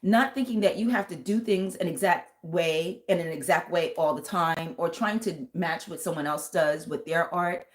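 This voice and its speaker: A woman with a thick, lower voice giving a live tarot reading and talking straight to her viewers with earnest, intuitive warmth. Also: normal speed, hardly any pauses. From United States. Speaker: tarot reader and vocal coach.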